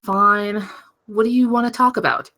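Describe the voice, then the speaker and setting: A woman's voice: medium pitch, fairly even intonation, normal speed, bright, forceful tone. A woman playing a nagging, suspicious fiancée in a comedy table read, pointed and insistent. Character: nagging, suspicious fiancée set on her wedding.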